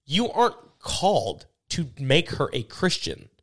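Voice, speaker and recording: A man's voice: fairly high pitch, big pitch swings, measured pace, fairly bright tone. A man co-hosting a casual faith podcast, affirming and reflective. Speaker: podcast co-host.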